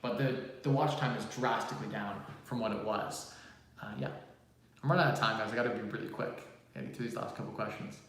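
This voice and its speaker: A man in his twenties hosting a casual live stream, answering viewer questions in a quick, talkative way, recorded on a phone about six feet away in a large empty room. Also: fairly low pitch, a bit bright. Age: 20s. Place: large empty room, new home office. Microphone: phone mic about six feet away. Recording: echoey empty room, distant phone mic.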